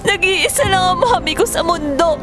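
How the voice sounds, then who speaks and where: A woman's voice: very high-pitched, animated, normal speed. A woman playing a bitter, defiant daughter in a Filipino audio drama, confrontational and emotional, with a Filipino accent. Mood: tearful, anguished. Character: bitter, defiant grieving daughter resenting her stepmother-to-be. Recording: music bed under the voice.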